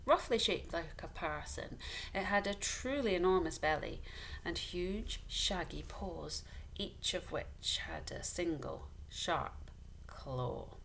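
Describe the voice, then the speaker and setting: A woman reading a children's story aloud, deliberately and with dramatic pauses. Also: lowish voice, expressive, vocal fry, fairly bright tone, slight background noise, poor audio. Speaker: story reader.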